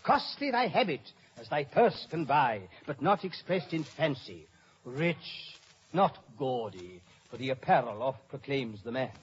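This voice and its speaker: A man's voice: medium pitch, big pitch swings, a little slow. An actor playing a pompous, fussy old father and counsellor, lecturing emphatically in radio Shakespeare style. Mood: fussy, sententious. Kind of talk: 1950s radio Shakespeare acting. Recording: muffled, noisy old radio broadcast.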